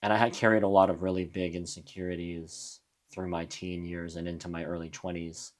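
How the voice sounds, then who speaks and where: A young adult man from New Mexico, a musician guest in a casual interview, talking in a reflective, halting way with frequent restarts. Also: sits low, little pitch movement. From USA (New Mexico).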